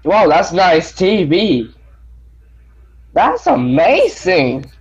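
A man's voice: high-pitched, huge pitch swings, drawn-out words, breathy edge. A man chatting casually in offhand remarks. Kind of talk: casual chat. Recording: dull lo-fi sound.

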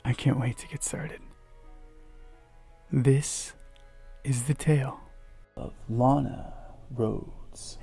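A young man hosting ASMR, speaking in a hushed, drawn-out way close to the microphone. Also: mid-range voice, steady tone, vocal fry. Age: young adult. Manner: slow, drawn-out, hushed delivery. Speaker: ASMR host. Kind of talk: ASMR introduction. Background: music.

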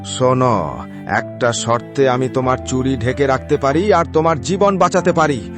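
A man's voice: medium pitch, moderate pace, natural voice. A man playing a greedy, threatening head gardener in a cartoon story, scolding and bullying as he talks. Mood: sly, bullying. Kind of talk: dramatized cartoon story dialogue. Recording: music behind the voice.

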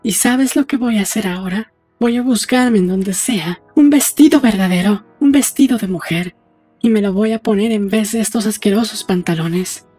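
Woman doing a playful rebel girlfriend for an audiobook, intimate, husky voice, faint music behind. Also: medium pitch, normal speed, warm tone, no echo, lightly acted. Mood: playful, determined. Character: young woman lover, playful and defiant, in dystopian novel. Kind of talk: dramatised audiobook dialogue.

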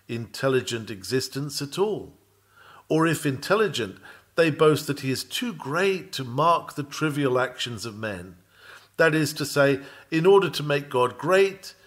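A man with a medium-pitched voice reads a historical sermon from a script. His delivery is formal and oratorical. He moves through the phrases in a deliberate, measured way. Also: fairly bright tone.

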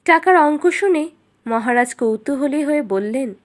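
A woman's voice: high voice, animated, moderate pace. A woman narrator reading a scripted fairy tale for children.

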